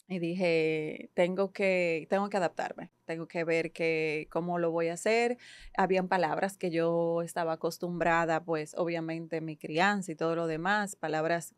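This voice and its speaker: A woman's voice: lowish voice, clear, resonant tone, no echo. Ecuadorian-born woman with a Dominican accent, dry sound. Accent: Dominican Spanish. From Guayaquil, Ecuador. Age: 30s. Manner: warm storytelling, laughs often. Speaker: psychologist and coach, guest. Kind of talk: casual interview chat.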